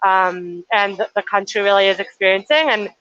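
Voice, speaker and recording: A young woman from Chile answers interview questions in a friendly, articulate way, with only a few ums. Her voice is bright and forward. The audio is dull and lo-fi, as on a livestream. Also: medium pitch, steady tone, normal speed, clear, resonant tone, dry recording. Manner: friendly and articulate, with light fillers. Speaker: nonprofit intern.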